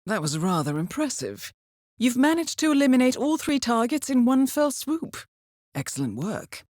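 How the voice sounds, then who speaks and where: An actress with a British accent playing a composed, dryly wry intelligence handler briefing an assassin in a video game, poised and measured. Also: female voice, fairly high pitch, big pitch swings, darkish tone, no room sound. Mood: wryly amused. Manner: poised, measured, dry understatement.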